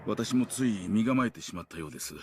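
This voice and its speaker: A man's voice: on the low side, expressive, close to an everyday voice. An actor playing a courteous, guarded male doctor in a visual novel, speaking formally and reluctant to confide. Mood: apologetic, wary. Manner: formal, measured wording, guarded. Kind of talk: Japanese visual novel voice acting. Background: background music.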